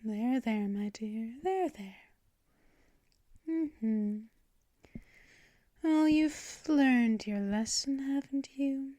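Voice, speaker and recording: Woman as gentle vampire mistress: slightly high, very slow, soft whispers, dry close sound. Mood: soothing. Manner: soft and soothing, drifting into whispers and shushing. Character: gentle female vampire mistress, doting and possessive. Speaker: ASMR performer. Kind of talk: ASMR roleplay.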